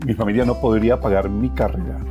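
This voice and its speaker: A man with a Colombian accent recounts his own life in an expressive, reflective way, repeating phrases for emphasis. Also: on the low side, fast, music in the background. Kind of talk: personal oral storytelling.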